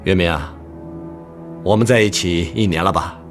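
An actor playing a brotherly but stern army officer in a Chinese radio drama, with emphatic, expressive delivery. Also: male voice, low-pitched, normal speed, music in the background, natural voice. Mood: stern, warning. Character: hearty older army officer, brotherly yet stern male friend.

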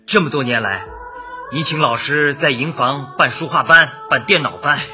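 An actor in a radio drama playing an earnest, grateful squadron leader with a heartfelt, declaiming manner. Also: male voice, fairly high pitch, moderate pace, close to an everyday voice. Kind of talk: Chinese radio drama acting. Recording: muffled, faint music behind.

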